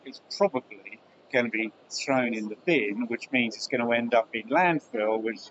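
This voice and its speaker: A man's voice: mid-range voice, normal speed, a bit bright, lo-fi sound, a little hiss or hum. Chatty man hosting a podcast. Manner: hesitant, repeats words, joking. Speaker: podcast host. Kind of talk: casual podcast interview.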